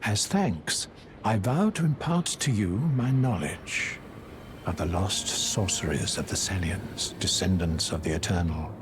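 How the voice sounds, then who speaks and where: An actor playing an old, gentle, wistful sorcerer in a fantasy game, speaking in formal archaic phrases. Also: male voice, deep voice, animated, measured pace, background noise. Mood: grateful, solemn. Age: older. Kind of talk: dramatic fantasy game acting.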